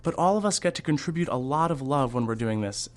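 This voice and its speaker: An actor playing a candid, wry theatre costume designer and Vietnam veteran in an audio drama, telling things in a measured, reflective way. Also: male voice, medium pitch, expressive, darkish tone, close to an everyday voice. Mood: earnest, reflective. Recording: music behind the voice.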